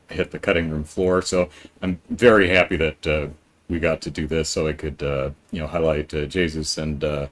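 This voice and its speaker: Male podcast host, very low voice, casual, meandering. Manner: rambling, many ums and restarts. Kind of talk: casual live-stream interview.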